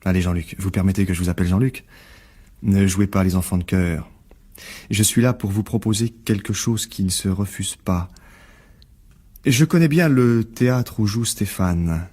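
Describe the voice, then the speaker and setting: Scheming, smug low-voiced journalist. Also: male voice, normal speed, dark tone. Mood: insinuating, menacing. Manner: insinuating, falsely polite, theatrical. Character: scheming, smug, blackmailing male theatre journalist. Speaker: actor in a radio drama. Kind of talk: radio mystery drama acting.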